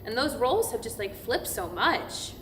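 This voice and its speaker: A frank, wry woman pastor played by an actress speaks colloquially and emphatically on stage. Her voice is fairly high, and the room rings with echo under some noise. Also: very animated, moderate pace. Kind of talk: verbatim theatre monologue, staged reading. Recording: echoey, noisy live stage room.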